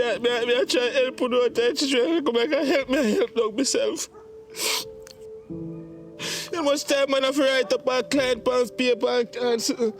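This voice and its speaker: A man with a Jamaican accent playing a distraught grown son in a domestic drama, pleading and reproachful, with halting, repetitive speech. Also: very high-pitched. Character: distraught grown son reproaching his mother over money. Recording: faint background noise.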